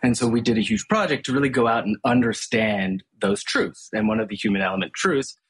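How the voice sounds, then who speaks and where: A man's voice: mid-range voice, lively intonation, brisk, a bit bright, breathy edge. A middle-aged man from Hong Kong, a brand strategist, explaining his ideas thoughtfully in an interview. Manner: thoughtful, explanatory, with frequent restarts. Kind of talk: podcast interview conversation.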